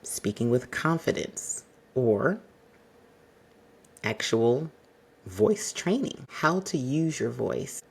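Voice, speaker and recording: A man hosting an advice podcast, speaking to the listener in a polished, exacting and fairly monotone way. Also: medium pitch, vocal fry, slightly rough. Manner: measured, exacting, addresses listener directly. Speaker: podcast host.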